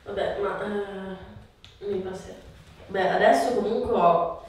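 A woman's voice: mid-range voice, slow pace, a little mellow. A young adult woman, chatting casually as a patient during a treatment. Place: small bare treatment room, indoors. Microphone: camera a few steps away. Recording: very echoey room, distant mic, light noise.

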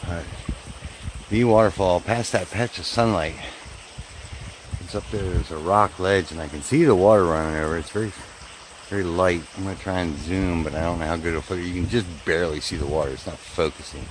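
A man's voice: deep voice, brisk, low-quality recording. A man narrating his hike up a wooded gorge trail in a chatty way, filmed on a handheld camera. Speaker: hiking vlogger. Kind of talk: casual hiking narration. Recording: outdoor stream noise behind.

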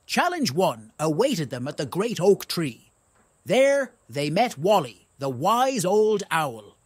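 A storyteller narrating a children's tale in a playful, animated way with exaggerated emphasis. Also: mid-range voice, slow, breathy edge, dry recording.

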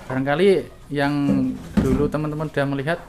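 A man's voice: fairly high pitch, flat delivery, fairly quick. A man hosting a farm-tour vlog, chatting eagerly with the farmer and addressing viewers. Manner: enthusiastic, asks short questions, addresses viewers directly. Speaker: vlog host touring a goat farm. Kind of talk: casual on-site interview. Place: outdoor wooden goat pen on a farm. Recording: noisy outdoor farm with goat bleats.

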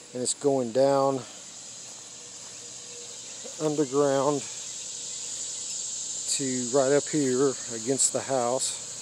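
Flat-toned older American man outdoors. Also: mid-range voice. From United States. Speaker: radio hobbyist giving a tour. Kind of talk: casual unscripted show-and-tell. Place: open rural backyard, outdoors. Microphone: handheld phone or camera mic. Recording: outdoor handheld recording with background noise.

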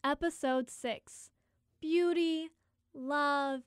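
Woman as a high-voiced storyteller. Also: steady tone, drawn-out words, dry recording, clean studio sound. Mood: earnest. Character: earnest storyteller narrating a Greek myth. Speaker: narrator. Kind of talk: scripted narration.

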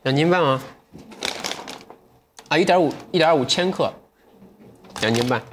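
A man's voice: on the high side, unhurried, noisy background. Playful man hosting a livestream sale. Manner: playful, self-mocking, talking to viewers while eating. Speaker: livestream sales host. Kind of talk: livestream selling with reflective asides. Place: livestream studio set with shelves and a table.

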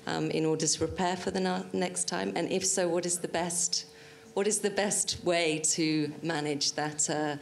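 A woman's voice: fairly low pitch, steady tone, moderate pace, few pauses. A woman putting a formal, spontaneous question to a panel in a conference auditorium. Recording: clear background noise, live room.

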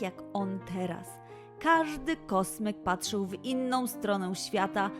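Unhurried woman storyteller over soft music. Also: medium pitch. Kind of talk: scripted children's story narration.

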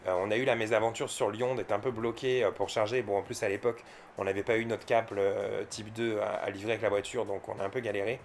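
A man's voice: fairly low pitch, little pitch movement, fast talker, a little hiss or hum. A man from France giving casual, unscripted vlog commentary, explaining things informally and with some hesitation. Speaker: electric car owner and vlogger.

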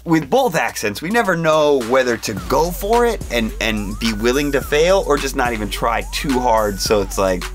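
A man's voice: mid-range voice, sing-song, normal speed, hardly any pauses, soft background music. A man in his thirties, talking candidly and self-deprecatingly in a behind-the-scenes interview. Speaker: co-creator of the show.

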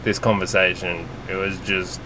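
A man's voice: low-pitched, flat delivery, moderate pace, background noise. A man in his twenties chatting casually into a handheld vlog camera. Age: 20s. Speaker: travel vlogger. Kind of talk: casual chat. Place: outdoors on a hiking trail and inside a car. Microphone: handheld camera at arm's length.

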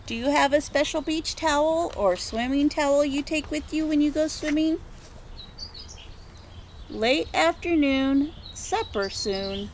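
High-voiced woman teacher reading a picture book. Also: a little hiss or hum. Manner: slow rhythmic rhyme reading with gentle questions to children. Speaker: preschool teacher. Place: outdoors on a front porch. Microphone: phone or laptop camera at close range.

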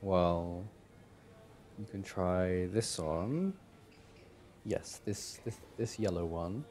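A young man performing casual ASMR boyfriend roleplay as an easygoing, affectionate partner, talking in a hesitant, halting way. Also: low-pitched, mellow. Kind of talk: ASMR boyfriend audio roleplay, casual one-sided chat. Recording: clearly audible background noise.

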